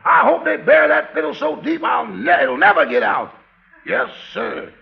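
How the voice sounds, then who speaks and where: Casting: sly American servant, very high gravelly voice, dry punchlines, old-time radio comedy. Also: male voice, huge pitch swings, normal speed, full character voice. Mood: gleeful. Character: wisecracking, irreverent male household servant of a star. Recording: muffled old radio recording.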